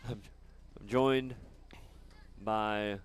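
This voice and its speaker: A man doing live soccer commentary outdoors, chatting casually and haltingly between plays. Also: lowish voice, steady tone, slight background noise. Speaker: sports play-by-play announcer.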